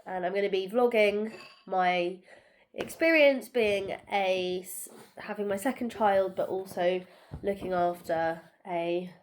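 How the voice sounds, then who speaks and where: A young woman with a cold, talking softly and tiredly at home in a rambling casual vlog, nasal and bunged up. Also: mid-range voice, slow, slight background noise. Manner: soft, tired, rambling with many fillers. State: congested, sore throat. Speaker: vlogger.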